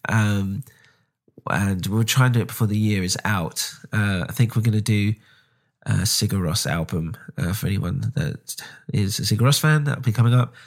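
A man's voice: on the low side, moderate pace. A man hosting his own podcast, talking casually with many ums and restarts, nasal from a cold. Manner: rambling, frequent ums and restarts. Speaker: podcast host. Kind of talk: casual solo update.

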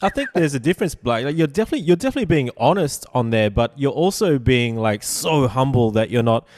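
A man in his forties hosting a podcast interview, enthusiastic and halting, full of stumbles and "like". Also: mid-range voice, big pitch swings, darkish tone, dry recording, clean studio sound. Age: 40s. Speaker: podcast host. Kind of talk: casual interview chat.

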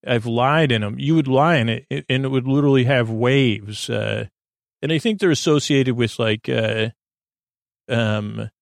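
A middle-aged man with a creaky voice hosts a sleep podcast, meandering haltingly and often interrupting himself with false starts. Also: medium pitch, dry recording, studio quality. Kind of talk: rambling, meandering bedtime monologue.

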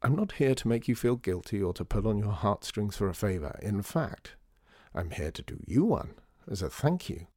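A man voicing a shrewd former store owner who talks in a measured, knowing, slightly theatrical way. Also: lowish voice, very animated, darkish tone, no echo, studio quality. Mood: wry.

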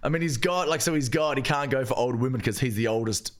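An Irish man co-hosting a comedy podcast, playful and quick to laugh in casual chat. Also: medium pitch. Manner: playful, giggly, swoops into silly voices. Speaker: podcast co-host.

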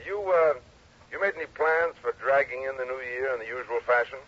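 Male actor as chummy insurance agent: rapid, raspy, cajoling, 1960s radio drama, lo-fi sound. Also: mid-range voice, a bit bright, natural voice. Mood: hearty. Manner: hearty, teasing, cajoling. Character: chummy, persuasive male insurance company man, adult. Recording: dull telephone-like vintage radio recording.

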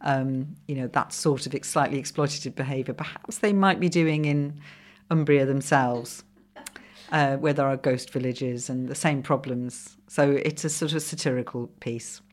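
A middle-aged Welsh woman with a low voice, speaking English as her second language after Welsh. She chats as a podcast guest, pausing often with ums and restarting her sentences. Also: steady tone. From Wales. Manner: hesitant, full of ums and restarts. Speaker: guest, comedy writer and former commissioning editor. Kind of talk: casual podcast conversation.

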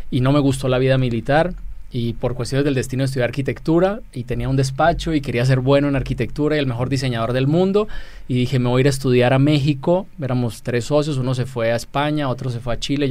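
A man's voice: medium pitch, a little mellow, no echo. A man in his forties, an educator and interview guest, telling his own story casually with bits of quoted dialogue. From Colombia. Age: 40s.

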